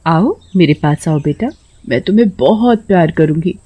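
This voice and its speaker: A woman voicing a loving but easily stern young mother in a cartoon story, earnest and expressive. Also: deep voice, moderate pace, breathy edge, lo-fi sound. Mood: earnest, affectionate.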